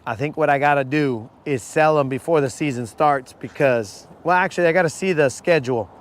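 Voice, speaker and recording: A man hosting a live fishing stream from a boat, talking casually with viewers in a hoarse voice. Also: a little high, fairly quick. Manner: casual, slangy, calls viewers bro. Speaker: fishing channel host. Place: outdoors on a boat on a lake.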